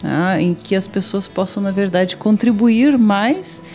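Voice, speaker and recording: A woman's voice: medium pitch, unhurried, a little mellow, faint music behind. A woman in her forties with a Brazilian accent, hosting a TV interview in an office, chatty and leading questions with a handheld mic. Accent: Brazilian Portuguese. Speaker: TV host. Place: office meeting room.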